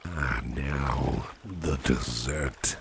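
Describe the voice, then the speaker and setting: An extremely deep, crackly voice from a man acting as a caring, indulgent businessman boss in an ASMR roleplay. He murmurs softly and takes his time, coaxing and reassuring as he repeats little phrases. Clear watery background noise sits behind the voice. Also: very slow, exaggerated voice. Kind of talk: soft-spoken ASMR roleplay.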